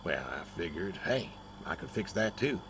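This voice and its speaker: A very deep older farmer, talking in a folksy, stubborn, wry way. His pitch moves expressively as he meanders along. Clear ambient noise sits behind him in this naturalistic game scene. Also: big pitch swings. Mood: wistful, wry. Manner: folksy, hesitant, unhurried storytelling. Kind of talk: naturalistic video game acting.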